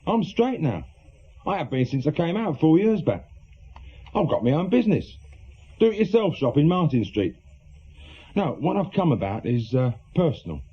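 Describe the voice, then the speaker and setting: An actor playing an awkward middle-aged reformed small-time crook with an English accent, a worried husband in a British radio drama, husky and hesitant. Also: male voice, fairly high pitch, very animated, moderate pace, pauses often. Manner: hesitant, colloquial, defensive. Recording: muffled, lo-fi radio drama recording.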